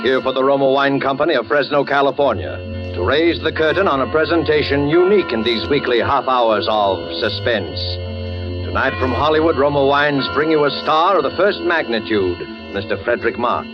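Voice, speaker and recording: Clear music plays behind a man on a dull vintage radio broadcast. He is a formal, portentous host announcing in 1940s style at a medium pitch. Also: animated, a little fast.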